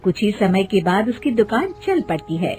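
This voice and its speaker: Woman, narrator, medium pitch, scripted moral story reading, light music underneath. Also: very animated, moderate pace, darkish tone.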